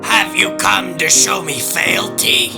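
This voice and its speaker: An imperious demon of judgment in a dark fantasy audio drama. The voice is intensely gravelly and drags each phrase out slowly, menacing and seductive, with music playing behind it. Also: male voice, high-pitched, exaggerated voice. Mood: commanding. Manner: slow, imperious, ominous delivery.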